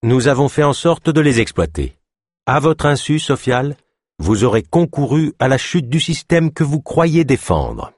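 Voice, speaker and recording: A man's voice: sits low, very animated, measured pace, a little mellow, husky, no echo, clean studio sound, full character voice. A man voicing a scheming, contemptuous male mayor in an audiobook, cutting, menacing and triumphant. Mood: triumphant contempt. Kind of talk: audiobook character acting.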